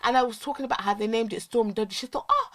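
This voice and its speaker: A woman's voice: fairly high pitch, rapid-fire, fairly bright tone. A young woman with a Birmingham accent co-hosting a casual podcast, laughing often and drifting off on tangents. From Birmingham, England. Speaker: podcast co-host. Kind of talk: casual chat.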